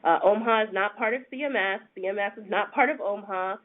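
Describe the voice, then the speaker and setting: A congested woman, a senior attorney, explaining a program in a webinar in a measured way with frequent pauses. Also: mid-range voice. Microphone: phone conference line. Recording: tinny phone line.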